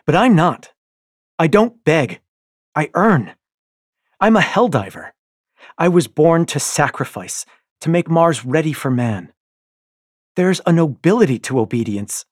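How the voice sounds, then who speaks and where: A man voicing a proud, hot-tempered young male miner, speaking tightly and defensively with a breathy tone. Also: lowish voice, unhurried, a little mellow, no echo, clean studio sound.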